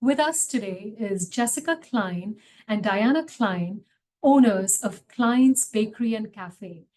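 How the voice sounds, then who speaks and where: A woman's voice: mid-range voice, expressive, slow, darkish tone, low-quality recording. A woman hosting a webinar interview, giving scripted introductions and putting questions to her guests. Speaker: host, city small business official.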